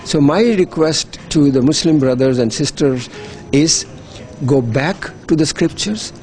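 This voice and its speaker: A man's voice: fairly high pitch, expressive, unhurried, a little mellow, soft background music. A middle-aged man, giving earnest, persuasive interview answers as an NGO worker, often repeating words as he restarts. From Pakistan. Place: hotel.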